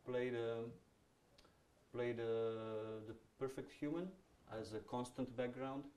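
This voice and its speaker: A man's voice: medium pitch, fairly even intonation, slow, a little mellow. A man moderating an onstage conversation, chatty, with many fillers and false starts.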